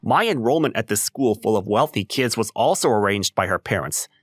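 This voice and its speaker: A man playing an ordinary, level-headed high school boy who narrates a manga dub story in a wry, casual way. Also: animated, fairly quick, slightly rough, dry recording, studio quality, faint music behind. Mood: wry, explanatory. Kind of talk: scripted first-person story narration and dub acting.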